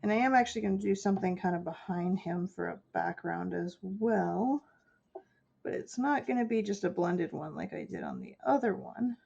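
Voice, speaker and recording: Stuffy-nosed woman with a gravelly edge. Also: mid-range voice, normal speed, darkish tone, dull audio. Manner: chatty, explaining her steps as she works. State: stuffy nose from a cold. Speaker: artist streaming her painting. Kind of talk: casual chat while painting.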